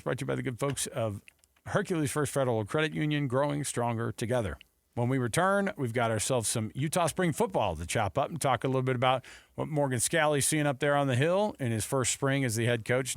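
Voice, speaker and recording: Sports radio co-host: American man, mid-pitched voice, casual bantering delivery, dry close studio mic. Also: no echo. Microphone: close studio radio microphone.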